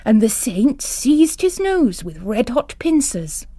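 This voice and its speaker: A woman's voice: fairly high pitch, sing-song, takes it slowly, warm tone, no echo, heavily acted. A woman voicing a weary, sceptical male parish priest with a dry, understated manner in an audio story. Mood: explaining.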